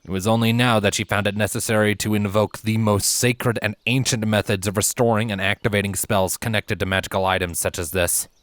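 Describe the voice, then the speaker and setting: A man narrating a fantasy story from a script in an expressive, dramatic way. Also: lowish voice, brisk, few pauses, dry recording. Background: music.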